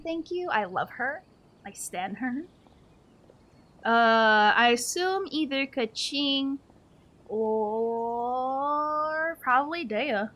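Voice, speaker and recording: A woman's voice: high voice, a bit bright. A woman livestreaming a game, chatting casually with viewers in a playful, slangy, giggly manner.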